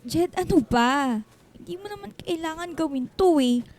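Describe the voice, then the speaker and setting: A woman's voice: very high voice, animated, normal speed, darkish tone. An actress playing a grateful, hesitant single mother in a Filipino radio drama, pleading and emotional. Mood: pleading, worried.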